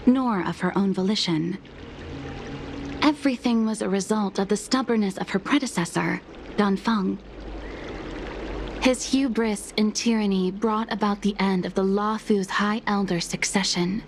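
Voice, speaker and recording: A woman's voice: mid-range voice, a little slow, noisy background, close to an everyday voice. A woman voicing a devoted young maid who speaks formally and courteously, with an earnest tone, in video game dialogue. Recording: game audio with music underneath. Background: background music.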